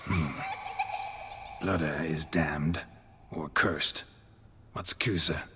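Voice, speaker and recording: An actor playing a gruff, stoic monster hunter in a video game cutscene, terse and deadpan, with a gravelly voice. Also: male voice, extremely slow, slight background noise, heavily acted. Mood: dry, stern. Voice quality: gravelly, husky low voice.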